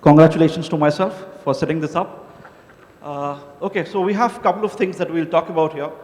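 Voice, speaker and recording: A man's voice: fairly high pitch, fairly even intonation, fast, a little mellow. Man presenting with hall reverb. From India. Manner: casual, joking, many filler "uh"s. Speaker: conference speaker. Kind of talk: conference presentation. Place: large conference hall.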